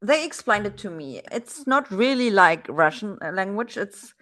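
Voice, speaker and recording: A woman from Germany with a German accent, hosting a relaxed video-call interview in English and talking in a chatty way with many restarts. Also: mid-range voice, normal speed. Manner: chatty, frequent restarts and fillers. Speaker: podcast host.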